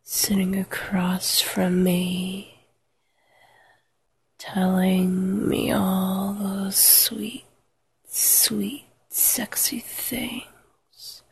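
A woman whispering an intimate, sensual erotic monologue to the listener in a breathy, drawn-out way. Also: mid-range voice. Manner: slow, sensual, drawn-out delivery with soft moans.

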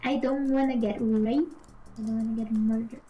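A woman's voice: fairly high pitch, steady tone, normal speed, mellow, soft background music. A young woman from Brazil with a Brazilian Portuguese accent, a native Portuguese speaker, chatting casually on a live stream in a blunt, offhand way. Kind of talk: casual chat.

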